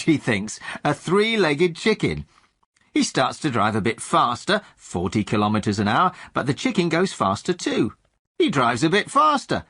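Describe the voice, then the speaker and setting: A man telling a comic story for language learners in an animated, clearly phrased way. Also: a little high. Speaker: podcast joke teller.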